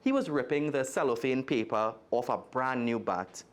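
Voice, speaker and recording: A man's voice: mid-range voice, moderate pace. A man with a Trinidadian accent reading a children's story aloud expressively and speaking directly to young listeners. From Trinidad and Tobago. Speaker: guest storyteller.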